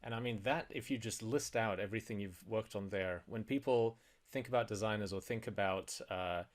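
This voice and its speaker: A man's voice: fairly low pitch, moderate pace. A man hosting a podcast, asking his guest conversational, probing questions. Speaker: podcast host. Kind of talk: podcast interview and self-promotion.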